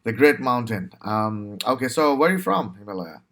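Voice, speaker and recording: A man's voice: medium pitch, very animated, normal speed, a bit bright. A young man from Bangladesh hosting a casual interview over a video call, talking with many fillers and restarts. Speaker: podcast host.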